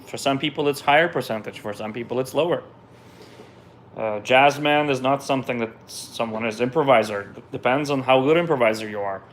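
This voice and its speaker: Man from israel, russian native speaker, middle-aged, casual q&a chat, relaxed, mid pitch. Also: fairly quick, slight background noise. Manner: relaxed and conversational, trails off and restarts. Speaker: musician hosting a viewer Q&A. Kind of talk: casual live Q&A, reading out questions and answering.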